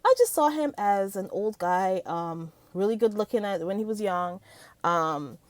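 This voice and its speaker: Woman, excitable vlogger, dry close sound, mid-pitched voice. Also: a little slow, dry recording. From Barbados. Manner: excitable, laughs often, many fillers. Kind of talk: casual movie review chat.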